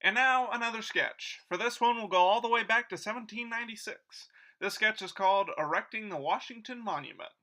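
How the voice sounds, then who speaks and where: A man plays an earnest, folksy radio host in a parody of 1940s broadcasts. His voice is high and forceful, selling with gee-whiz sincerity. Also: brisk, hardly any pauses. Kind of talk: 1940s radio announcer parody, scripted ad read.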